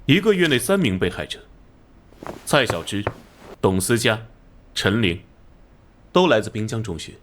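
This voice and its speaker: A man voicing a stern, gruff police detective captain, curt and commanding, in an animated crime drama. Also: fairly low pitch, moderate pace, pauses often, slight background noise. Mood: grave, brisk.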